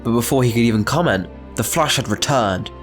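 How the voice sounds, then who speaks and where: A man's voice: medium pitch, fairly quick, faint music behind. A man reading scripted, dramatic story narration as the narrator.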